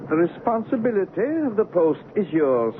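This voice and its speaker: A man's voice: high voice, very animated, normal speed. A man playing a stern, businesslike Dutch company director in a radio drama, formal and commanding. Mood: authoritative. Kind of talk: old-time radio drama acting. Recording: muffled old radio broadcast, noisy background.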